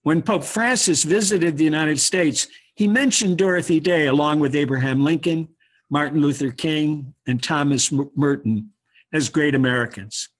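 A man delivering prepared formal remarks on a video call in a deliberate, solemn manner. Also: fairly high pitch. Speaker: speaker on a webinar. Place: indoor room, video call.

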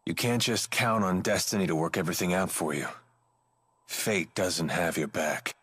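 A man's voice: low voice, normal speed, a bit bright, slightly rough, no room sound. An actor playing a cynical, worldly, guarded man in a video game, with a dry, sardonic manner. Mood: teasing, world-weary. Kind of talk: English game voice acting.

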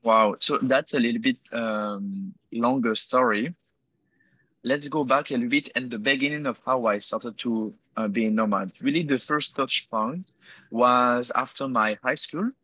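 A man's voice: medium pitch, unhurried, pushed and bright, no room sound, highs cut off. A young man from Switzerland with a French accent, answering interview questions over a video call, hesitant with many fillers and self-corrections. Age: 20s. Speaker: guest entrepreneur. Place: indoor room by a window. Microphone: earbuds on a video call.

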